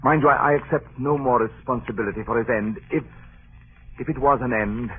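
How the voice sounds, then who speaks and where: An actor playing an uneasy, world-weary freighter captain in a 1930s radio drama, with a grave, measured delivery. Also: male voice, mid-range voice, lightly acted. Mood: haunted. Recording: noisy, tinny 1930s radio recording.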